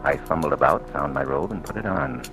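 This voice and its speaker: An actor playing a calm, courteous, firm blind detective in an old radio drama, speaking in a measured, direct way. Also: male voice, a bass voice, vocal fry. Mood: measured narrating. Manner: measured, matter-of-fact, direct. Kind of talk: 1940s radio drama acting and first-person narration. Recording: old radio recording with music.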